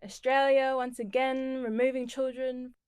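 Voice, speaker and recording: A woman from the Torres Strait Islands and Tonga, performing a spoken word poem in a slow, measured and deliberate way. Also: high-pitched, little pitch movement, darkish tone, smooth, no room sound, studio quality. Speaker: performing poet.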